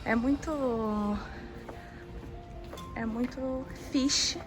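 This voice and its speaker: A young Brazilian woman with a slightly high voice talks straight to her viewers while walking outdoors through the city. Her manner is chatty and easy, like a casual travel vlog. Music plays clearly underneath her voice. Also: extremely slow, rough recording. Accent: Brazilian Portuguese. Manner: chatty, addresses viewers directly as "gente". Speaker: vlogger.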